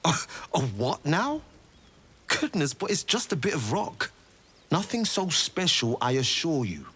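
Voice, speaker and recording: An actor playing a swashbuckling, boastful young monster hunter in a fantasy video game, with charming, theatrical flourishes. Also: male voice, fairly high pitch, wildly swooping pitch, a little slow, a bit bright, husky, heavily acted. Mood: defensive.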